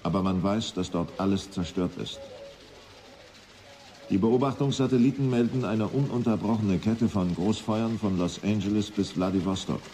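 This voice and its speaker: An actor playing a grim, disillusioned general in a radio play, reporting in a sombre, bitter, measured way. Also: male voice, fairly low pitch, mellow, a little hiss or hum, close to an everyday voice.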